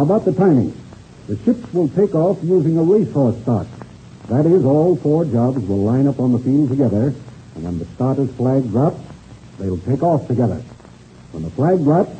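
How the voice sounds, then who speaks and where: An actor playing a formal, firm air-race official who speaks precisely and with authority in a 1930s radio serial. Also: male voice, mid-range voice, dark tone, natural voice. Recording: muffled, hissy old radio recording.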